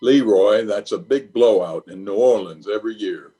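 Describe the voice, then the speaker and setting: A man's voice: on the low side, moderate pace, mellow, natural voice. A man playing a pompous, flustered middle-aged bachelor uncle in an old-time radio comedy, exasperated and hemming and hawing.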